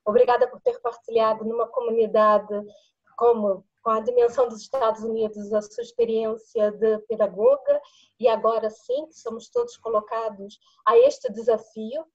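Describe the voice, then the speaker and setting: A woman with a Latin American accent, asking a long, animated question during an online seminar and laughing between phrases. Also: on the high side, normal speed, breathy edge, dull audio. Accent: Latin American Portuguese. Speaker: audience member asking a question. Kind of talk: webinar question.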